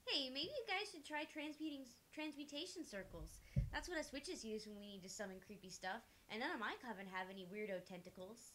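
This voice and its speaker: Woman as high-voiced flippant student witch, hissy recording. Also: brisk, bright, forceful tone. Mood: curious, flippant. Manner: quippy, conversational. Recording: hissy background noise.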